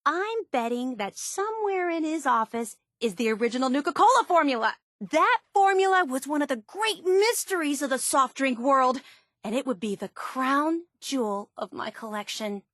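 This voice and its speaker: A woman's voice: high-pitched, animated, moderate pace, fairly bright tone, no room sound, studio quality. A woman playing an eager, obsessive young superfan and tour guide in a video game, gushing with earnest, geeky enthusiasm. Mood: excited. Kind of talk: video game character dialogue.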